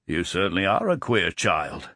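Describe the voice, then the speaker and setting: A man's voice: sits low, big pitch swings, normal speed, bright, forceful tone, no room sound, clean studio sound, pitched below the performer's own voice, full character voice. A man voicing a middle-aged businessman, blustering and trying not to sound condescending.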